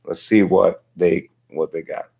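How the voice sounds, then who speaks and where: A man in his forties with a tiring voice, talking through political commentary in a halting, reflective way as a livestream host. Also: low-pitched, fairly even intonation, vocal fry, a little mellow. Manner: halting, reflective, thinking aloud. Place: small home workshop, indoors.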